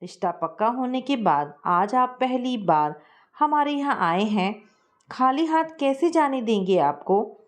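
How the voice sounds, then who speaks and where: A woman's voice: a little high, animated, a little slow. A woman voicing a courteous, hospitable wealthy middle-aged man in a storybook reading. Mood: gracious.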